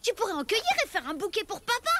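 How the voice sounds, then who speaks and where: A woman voicing an eager, cheeky, affectionate little boy in an animated children's cartoon, chatty and excitable. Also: very high-pitched, quick pace, heavily acted. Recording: music behind the voice.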